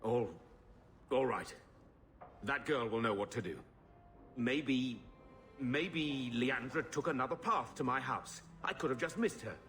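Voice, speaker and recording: A man's voice: mid-range voice, a little slow, long pauses, a bit bright, faint music behind, natural voice. A man voicing an agitated older uncle in a video game, fretful and blustering. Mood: worried denial. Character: anxious, agitated older uncle, quick to fret.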